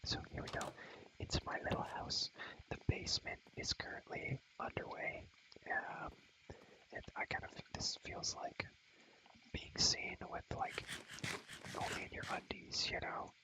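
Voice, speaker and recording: A young man whispering in a breathy voice for ASMR, rambling casually in slang as he shows a game world and softly repeats his phrases. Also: unhurried, pauses often, low-quality recording.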